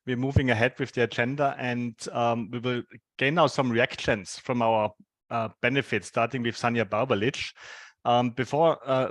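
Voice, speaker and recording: A man's voice: mid-range voice, dry recording. A man moderating a webinar over a video call, speaking politely and steadily with frequent "um" fillers.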